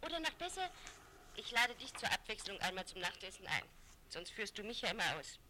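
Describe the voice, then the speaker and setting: An actress in a radio drama playing a friendly woman who speaks in a gently coaxing manner. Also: medium pitch, sing-song, fairly quick, background noise, natural voice. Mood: inviting. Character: friendly, coaxing divorced woman phoning a close acquaintance, Eve. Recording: roomy, echoing sound.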